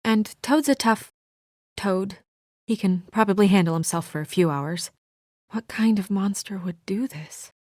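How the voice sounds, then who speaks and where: A woman's voice: mid-range voice, measured pace, a little mellow, no room sound, close to an everyday voice. A woman acting as a composed, earnest young investigator in a noir fantasy video game, serious and restrained with a dry edge. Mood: businesslike. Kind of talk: video game voice acting, naturalistic dramatic dialogue.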